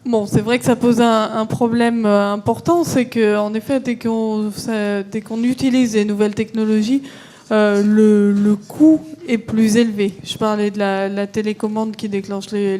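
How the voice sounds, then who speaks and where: A woman's voice: medium pitch, warm tone. A woman panelist answering audience questions at a conference, explaining carefully with many hesitations and restarts.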